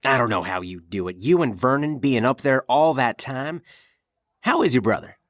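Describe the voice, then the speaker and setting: A man's voice: medium pitch, animated, normal speed, no echo, clean studio sound, lightly acted. A man playing a chatty, curious Old West shopkeeper in an audio drama, folksy and exclaiming, with a rural American accent. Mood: surprised, curious. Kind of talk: western audio drama acting.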